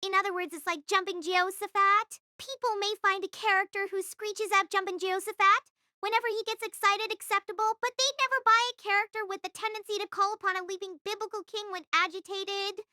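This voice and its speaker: A woman's voice: very high voice, fairly even intonation, fairly quick, bright, forceful tone, dry recording, clean studio sound. A woman voicing a prim, prickly elementary school girl who speaks in formal, haughty, blunt sentences in an acted character performance. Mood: prim, earnest. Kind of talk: acted dialogue, animated-character delivery.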